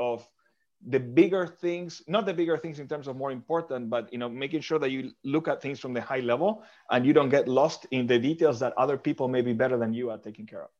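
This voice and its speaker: A man from Argentina speaks as a podcast guest at a middle pitch. He answers thoughtfully and often restarts or repeats words as he goes. His audio is muffled and lo-fi. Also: a little mellow. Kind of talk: interview answers.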